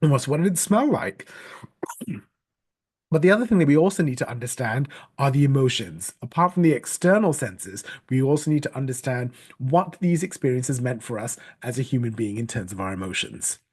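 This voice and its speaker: A middle-aged man from Sri Lanka, giving a recorded training talk as a storytelling coach, earnest and measured, with frequent fillers and restarts. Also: medium pitch, big pitch swings, a little mellow, breathy edge. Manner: spells out words letter by letter.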